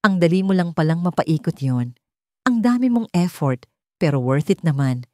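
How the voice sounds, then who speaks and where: A woman's voice: fairly low pitch, expressive, moderate pace, a little mellow, no room sound, clean studio sound, natural voice. A woman voicing a cocky young man who teases his friend with taunting, slangy banter in a story. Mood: mocking, impressed. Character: cocky young male friend teasing about a bet.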